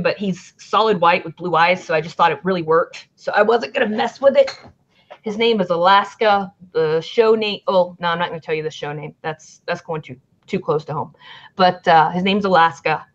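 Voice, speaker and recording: A woman with a Southern accent, rambling and swearing casually in a livestream chat as a band manager. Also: fairly low pitch. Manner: rambling, chatty, swears freely. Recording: lo-fi stream audio.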